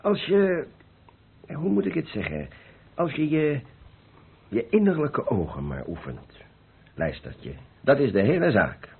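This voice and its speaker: An actor playing a jovial middle-aged master painter, teasing and chuckling, in an old Dutch radio play. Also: male voice, on the high side, wildly swooping pitch, a little slow, vocal fry, long pauses, husky, full character voice. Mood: musing, encouraging. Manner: hearty, chuckling, repeats himself. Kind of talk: old radio drama acting. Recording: tinny, noisy old radio play recording.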